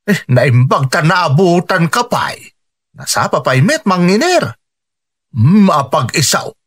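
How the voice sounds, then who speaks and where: Ilocano country father, higher male voice, very animated, emphatic, radio drama. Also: measured pace, husky, full character voice. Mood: chatty, lively.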